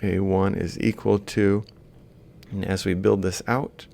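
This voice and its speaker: Deep, very gravelly male lecturer. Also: flat delivery, measured pace, mellow. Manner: informal, thinking aloud while writing. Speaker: university lecturer. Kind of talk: worked-example robotics lecture, informal step-by-step explanation.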